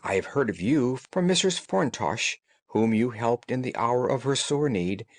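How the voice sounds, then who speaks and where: A man's voice: mid-range voice, lively intonation, moderate pace, dry recording. A man voicing a frightened, weary genteel woman of thirty in a storybook, agitated and pleading in a formal way. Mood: desperate, pleading. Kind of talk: audiobook character dialogue.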